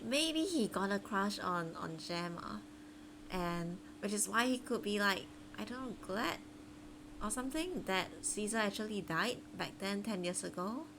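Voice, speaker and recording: A woman's voice: medium pitch, expressive, unhurried, background noise. A woman live streamer giving casual gameplay commentary, thinking aloud in a chatty way.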